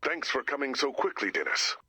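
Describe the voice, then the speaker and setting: An actor playing a grave, anxious director cursed to become a werewolf, in an earnest, dramatic video game style with a gravelly voice. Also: male voice, mid-range voice, big pitch swings, brisk, pushed and bright, no room sound, heavily acted. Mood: earnest, exasperated. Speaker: director of a base. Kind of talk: animated video game voice acting.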